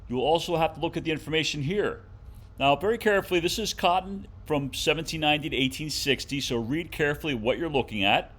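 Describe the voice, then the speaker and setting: A man's voice: on the high side, fast talker, fairly bright tone. Male teacher giving step-by-step directions. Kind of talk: informal instructional screen walkthrough.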